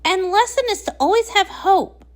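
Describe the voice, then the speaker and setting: A woman's voice: very high-pitched, normal speed, pitched above the performer's own voice. A woman voicing a bubbly, scatterbrained female party pony, bouncy and chatty, in a cartoon comic read-along. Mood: eager.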